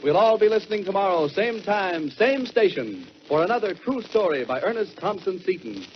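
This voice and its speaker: A man's voice: high-pitched, sing-song, normal speed, a little hiss or hum. An American man speaking as an announcer in the style of 1940s radio. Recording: dull vintage 1940s radio, music behind.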